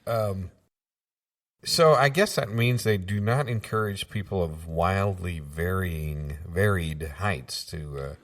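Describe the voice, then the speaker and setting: Man as a very deep-voiced, muddled radio announcer. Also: distant mic. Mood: muddled, hesitant. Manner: halting, muddled, trailing off. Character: rambling, unsure small-town radio station announcer. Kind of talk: improvised deadpan radio comedy.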